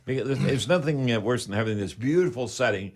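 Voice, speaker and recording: A man's voice: mid-range voice, expressive, fast talker. Folksy older Canadian man hosting radio. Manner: folksy, rambling, uses catchphrases. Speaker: home improvement show host. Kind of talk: chatty radio show hosting.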